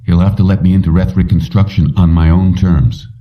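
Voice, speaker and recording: A man voicing a determined, self-assured male fugitive in an audiobook, measured and decisive. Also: low-pitched, flat delivery, lightly acted. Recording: muffled, dull, distant-sounding.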